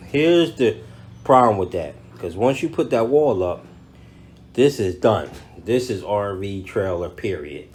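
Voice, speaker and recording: Deep-voiced American man, casual vlogger. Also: a little mellow, a little hiss or hum. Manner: slangy, informal, addressing viewers directly. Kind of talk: casual unboxing and walkthrough talk to camera.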